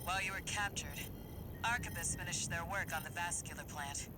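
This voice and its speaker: An actress playing a brash, battle-hardened mercenary operator in a video game, speaking in a commanding, wry way. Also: female voice, lowish voice, a little fast, natural voice. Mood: grim, resolute. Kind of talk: video game voice acting, mission briefing. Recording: loud game music and vehicle noise.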